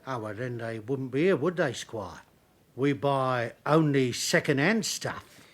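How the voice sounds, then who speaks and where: Sly London junk dealer, lively. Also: male voice, mid-range voice, a little slow. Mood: evasive. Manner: mock-deferential, evasive, cheeky. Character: sly, wheedling cockney second-hand furniture dealer, outwardly servile. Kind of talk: British radio drama acting.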